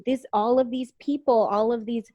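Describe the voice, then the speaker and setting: A woman from Puerto Rico, a guest on a podcast and an actor, telling personal stories candidly, animated and emotional, acting out the voices she quotes. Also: a little high, moderate pace, no echo, studio quality. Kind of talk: candid conversational storytelling.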